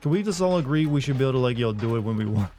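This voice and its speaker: A man's voice: medium pitch, lively intonation, very fast, warm tone, soft background music. A man streaming a game live, chatting casually in a rambling, joking way. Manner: rambling and joking, lots of fillers like "like". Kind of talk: casual live gameplay commentary.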